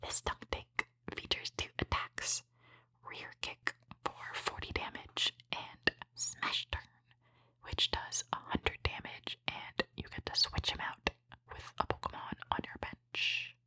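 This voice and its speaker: A woman whispers softly and without voicing, in a bright, breathy tone that sits close and dry on the microphone. Her delivery is gentle and appreciative, in the style of an ASMR host talking through trading cards. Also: slow pace, no echo. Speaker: host opening trading card packs. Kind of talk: whispered ASMR card commentary.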